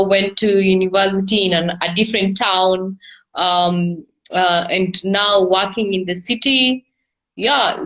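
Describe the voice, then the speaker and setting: A woman from Kenya with a Kenyan accent, answering interview questions over a video call, hesitant with soft laughs. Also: mid-range voice, rough recording. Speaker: guest entrepreneur.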